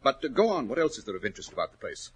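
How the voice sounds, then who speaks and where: An actor playing a brisk, self-assured English detective in a 1940s radio drama, emphatic and probing with a superior manner. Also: male voice, mid-range voice, very animated. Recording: scratchy, rough 1940s radio recording.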